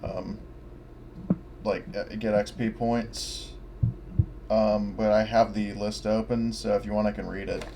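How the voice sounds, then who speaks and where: A man's voice: on the low side, little pitch movement, unhurried, vocal fry, pauses often, crowd noise. A man chatting casually as a player in a tabletop role-playing session, tentative and often checking details over a voice call. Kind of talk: casual game-session chat. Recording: noisy voice-call audio.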